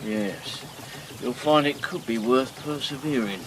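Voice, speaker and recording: An actor playing a rough, hard-drinking riverboat captain in a radio drama, with slangy, sarcastic speech. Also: male voice, mid-range voice, a little slow, background noise, close to an everyday voice. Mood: friendly.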